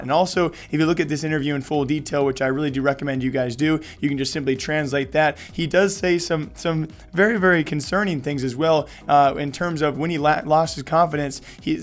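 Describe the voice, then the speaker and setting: A man hosting an esports news show, talking energetically while losing his voice. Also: fairly high pitch. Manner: energetic, many fillers. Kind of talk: fast esports news commentary.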